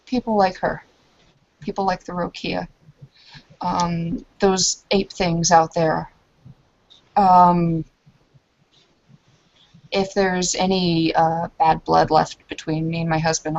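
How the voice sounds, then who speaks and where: A woman voicing an earnest, humble kinfolk woman in a tabletop roleplaying game, speaking formally and hesitantly, with careful halting phrasing. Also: fairly low pitch, level tone, vocal fry, dull audio. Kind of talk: improvised in-character roleplay.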